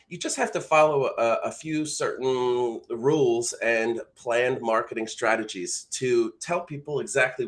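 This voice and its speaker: A man's voice: medium pitch, fairly bright tone. A man hosting an informal instructional live show, explaining things directly to the listener as a teacher would. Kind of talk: informal instructional talk to camera.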